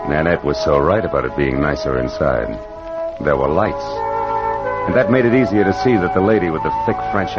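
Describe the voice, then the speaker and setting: Casting: a wry American detective narrator, deep and punchy, muffled old-time radio drama sound. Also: male voice. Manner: sardonic, punchy. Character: wry hard-boiled private detective narrator. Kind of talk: 1940s radio noir narration. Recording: muffled vintage radio, music behind.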